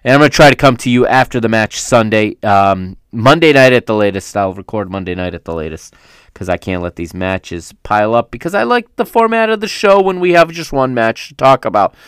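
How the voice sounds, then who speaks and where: A man's voice: medium pitch, brisk, fairly bright tone, smooth, dry recording, clean studio sound. A man hosting a solo football podcast, rambling through a casual recap, often correcting himself as he goes, with a fan's enthusiasm. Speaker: podcast host. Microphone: studio microphone.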